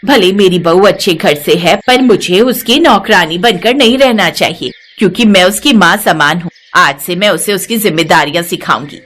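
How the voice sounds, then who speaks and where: A woman's voice: lowish voice, moderate pace, hardly any pauses, a bit bright. A woman playing an earnest older mother-in-law in a cartoon moral story, speaking in an admonishing way. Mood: regretful resolve. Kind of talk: acted Hindi moral-story cartoon dialogue.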